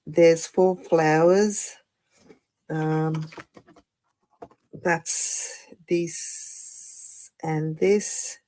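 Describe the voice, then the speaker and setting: A woman with a croaky voice after the flu, chatting through a live card-making tutorial with many fillers and apologies. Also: low-pitched, steady tone, very slow, pauses often, dark tone, low-quality recording. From Australia. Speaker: craft demonstrator.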